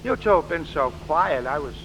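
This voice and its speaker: An actor playing an excitable, simple, chatty cab driver who repeats himself, in 1940s radio style. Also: male voice, on the high side, moderate pace. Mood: chatty, wistful. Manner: dim-witted, repetitive, muddled phrasing. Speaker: comic sidekick. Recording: noisy old-time radio recording.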